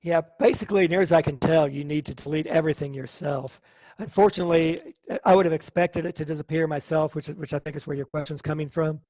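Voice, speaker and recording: A man teaching a live online coding class, thinking aloud and explaining in a relaxed way. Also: a little high, quick pace, dry recording.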